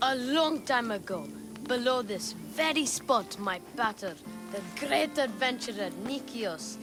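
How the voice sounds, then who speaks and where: Lady doing a young scammy treasure hunter, high lively voice, slow, music under it. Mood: earnest. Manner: defensive, streetwise, a bit whiny. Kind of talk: video game cutscene acting. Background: game music.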